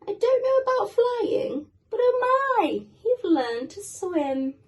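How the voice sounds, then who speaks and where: Storybook mother penguin, done by a woman: high, fond, gentle, amused. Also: big pitch swings, takes it slowly, exaggerated voice. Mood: fond amusement.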